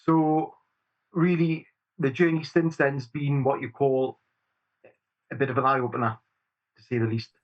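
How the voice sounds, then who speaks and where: Geordie guy, 40s, talking earnest and measured, kinda dull lo-fi sound. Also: mid-range voice, flat delivery. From Newcastle upon Tyne, England. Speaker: campaign group chair, guest. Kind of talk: earnest interview answers.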